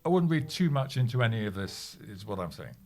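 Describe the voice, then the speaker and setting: An older male office worker in an audio comedy played by a man. He is wry and easily exasperated, with animated pitch and a dry delivery over faint music. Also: fairly quick. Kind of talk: scripted audio comedy drama.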